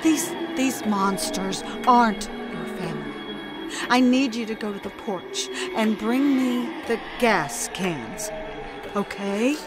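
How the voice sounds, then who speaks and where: A woman's voice: medium pitch, animated, takes it slowly, loud music behind the voice. A woman playing a grim, determined neighbor who hunts evil in an audio horror drama. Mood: stern.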